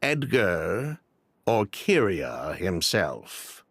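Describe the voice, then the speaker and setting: A glib, theatrical swindler with sweeping pitch. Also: male voice, wildly swooping pitch, very slow, a bit bright, breathy edge, dry recording, clean studio sound, full character voice. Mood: brisk, dismissive. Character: evasive middle-aged male ex-fraudster perfume seller, glib and theatrical. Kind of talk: video game voice acting.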